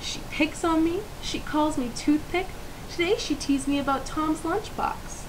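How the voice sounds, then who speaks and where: A woman's voice: high voice, unhurried, pitched above the performer's own voice. A woman voicing a hurt, bullied schoolgirl in a storybook, speaking emphatically and plaintively. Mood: upset, plaintive. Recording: clear steady hiss.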